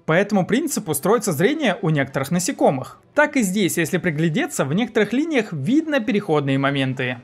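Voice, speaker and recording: A man's voice: high-pitched, big pitch swings, fast talker, hardly any pauses, breathy edge, soft background music. A man in his thirties presenting a scripted video essay as a film review host, recorded indoors in a small room.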